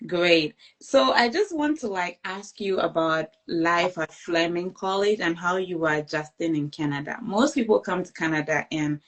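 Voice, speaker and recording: A woman's voice: fairly low pitch, moderate pace, hardly any pauses. A woman speaks. She hosts an interview in a conversational way, speaking as an education consultant.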